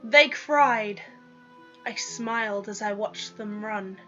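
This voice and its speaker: A woman's voice: mid-range voice, takes it slowly, sharp tone. A young woman giving a dramatic first-person reading of a story, on a lo-fi recording with music behind. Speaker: reader of own story.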